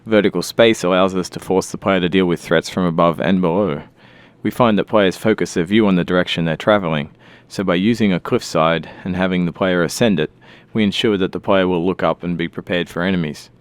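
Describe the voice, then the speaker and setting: Deep, smooth-voiced man narrating, very dry sound. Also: fairly even intonation, brisk, no room sound. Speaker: game level designer. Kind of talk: scripted developer commentary.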